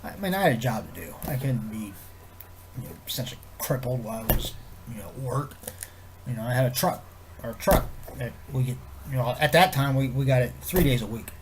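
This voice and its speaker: A man with a stuffed-up head cold talks on, his voice nasal and congested. His pitch sits mid-range for a man. He rambles in a low-key, easygoing way and swears casually. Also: expressive, brisk, noisy background. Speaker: vlogger. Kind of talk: rambling casual chat to camera.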